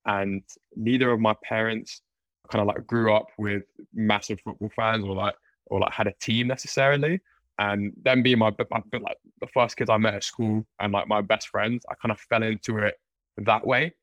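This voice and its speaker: A man's voice: lowish voice, fairly even intonation, moderate pace, fairly bright tone, no echo. A man from London with a London accent, a podcast guest talking casually, with many restarts and fillers. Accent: London English. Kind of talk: casual interview chat.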